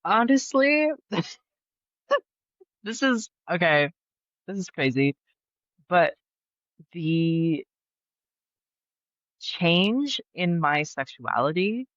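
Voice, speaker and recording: Chatty young man, bright tone. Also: high-pitched, sing-song, extremely slow, pauses often, no room sound, highs cut off. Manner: chatty, laughing, many fillers. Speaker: podcast guest. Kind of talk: casual interview chat. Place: Brooklyn.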